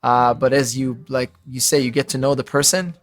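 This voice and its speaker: A man from Israel speaks in a casual, conversational podcast style. His voice sits at a medium pitch, and he talks as a guest would, in loose everyday phrasing. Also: level tone, moderate pace. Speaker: podcast guest, financial advisor.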